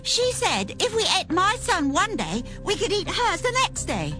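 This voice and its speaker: A woman's voice: extremely high pitch, huge pitch swings, a little slow, sharp tone, heavily acted. An actress playing a desperate, starving mother in a dramatized Bible reading, pleading and distraught. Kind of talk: dramatized Bible audio acting. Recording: music behind the voice.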